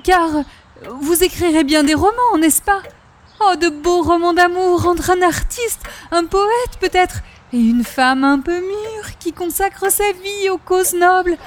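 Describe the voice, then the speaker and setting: Very high-voiced gushing busybody lady. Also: animated, slow pace, a little hiss or hum, full character voice. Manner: gushing, dramatic, prim, flowery. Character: effusive, romantic, middle-aged busybody lady neighbour. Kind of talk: old-time radio comedy acting.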